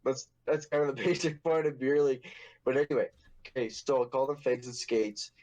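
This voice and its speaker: A man's voice: medium pitch, fairly even intonation, a little fast, darkish tone, highs cut off. A young man hosting a casual podcast, telling a story in a rambling, profane, joking way. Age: young adult. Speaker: podcast host.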